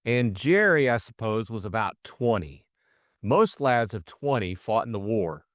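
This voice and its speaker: A man voicing a breezy, excitable young English gentleman sleuth in an audiobook, full of upper-class slang and exclamations. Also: on the low side, measured pace, dry recording, clean studio sound. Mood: shrewd, earnest.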